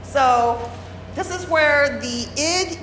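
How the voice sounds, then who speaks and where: A woman's voice: high-pitched, drawn-out words, a bit bright, slight background noise. Woman lecturing in an echoey hall. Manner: explanatory, many fillers and restarts. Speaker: workshop presenter. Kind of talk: workshop lecture. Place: workshop room at a retreat. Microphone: no microphone, room recording. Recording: roomy hall, unamplified voice.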